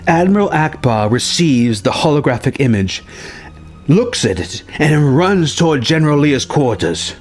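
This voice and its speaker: A man's voice: fairly high pitch, sing-song, unhurried. Man narrating dramatically over music. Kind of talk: dramatic narration of a film story treatment. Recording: music bed under the voice.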